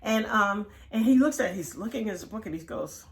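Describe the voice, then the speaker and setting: A woman in her sixties telling personal stories as an interview guest, candid and expressive, often acting out what was said. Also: mid-range voice, a little fast, gravelly. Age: 60s. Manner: expressive, quotes herself and others as she tells it. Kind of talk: candid personal storytelling in conversation.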